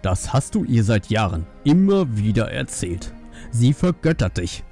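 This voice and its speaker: A man acts out an angry middle-aged panda father for an audiobook. His voice is mid-pitched and dark, swinging widely as he scolds with sarcasm over faint music. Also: sing-song, measured pace. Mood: accusing. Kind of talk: audiobook character voice.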